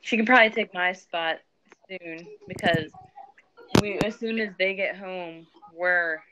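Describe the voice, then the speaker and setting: A woman's voice: fairly low pitch, unhurried, very noisy. An American woman chatting casually on an online video call. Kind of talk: casual chat.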